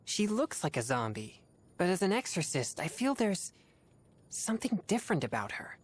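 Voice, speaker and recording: A woman voicing an earnest, formal teenage boy exorcist in a video game, speaking in a measured way. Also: lowish voice, very animated. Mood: thoughtful. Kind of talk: video game character voice lines.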